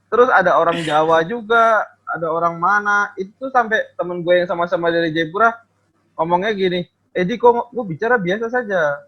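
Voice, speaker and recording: A man from Papua telling stories in a casual chat among friends, in animated Jakarta slang. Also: high voice, moderate pace. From Papua, Indonesia. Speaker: podcast co-host. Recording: dull lo-fi audio.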